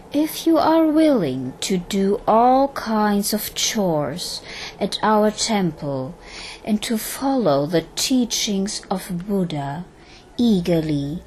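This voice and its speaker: A woman acts out a kindly Buddhist abbess in an audio drama, solemn and formal. She speaks very slowly at a medium pitch, over faint background noise. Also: darkish tone, poor audio.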